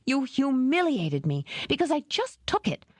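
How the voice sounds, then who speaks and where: A woman voicing a proud, quick-tempered young woman shopkeeper in an audiobook, with a raised, emphatic delivery. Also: sits high, huge pitch swings, dry recording, pitched above the performer's own voice. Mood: indignant. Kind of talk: audiobook character reading.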